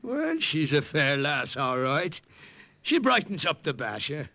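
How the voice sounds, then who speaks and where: A fatherly missionary priest from old radio drama. His voice sits fairly high and swings with a lively, folksy lilt. It has a bright, forceful edge. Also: unhurried, no room sound, heavily acted. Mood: genial. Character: kindly, fatherly missionary priest leading jungle villagers. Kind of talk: 1950s radio drama acting.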